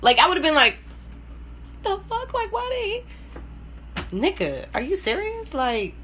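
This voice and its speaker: A woman telling a personal story casually and candidly, blunt and profane, with lots of slang and animated acting-out of her reactions. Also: very high-pitched, takes it slowly, slight background noise. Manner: animated, acts out quoted reactions. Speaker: storyteller hosting own vlog.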